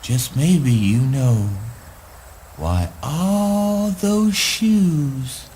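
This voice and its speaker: High, singsong, morbid oddball son. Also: male voice, animated, a little mellow, slight background noise. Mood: taunting, accusing. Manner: singsong, drawn-out, childish. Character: eccentric morbid backwoods son, childlike and taunting. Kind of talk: spoken word play acting.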